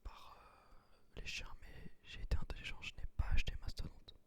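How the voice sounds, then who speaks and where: A man whispering a gameplay commentary in ASMR style, explaining things in an unhurried, hesitant way. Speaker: gaming video creator.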